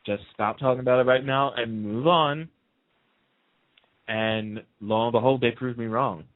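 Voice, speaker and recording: A man's voice: mid-range voice, steady tone, a little slow. A man hosting a talk show, chatting casually and giving opinions emphatically, often repeating a point for emphasis. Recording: narrow, muffled phone-like sound.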